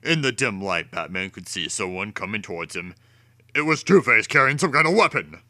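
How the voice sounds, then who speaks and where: A man's voice: fairly low pitch, big pitch swings, fairly quick, bright, forceful tone, no room sound, clean studio sound. A man giving a theatrical, over-emphatic dramatic reading of a children's storybook. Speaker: storybook reader.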